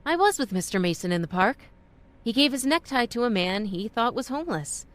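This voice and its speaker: A woman plays a well-meaning young mother in a radio drama. Her voice sits a little high, with polite, hesitant phrasing that gets easily flustered. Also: sing-song, brisk. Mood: earnest, explaining. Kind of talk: old-time radio drama acting.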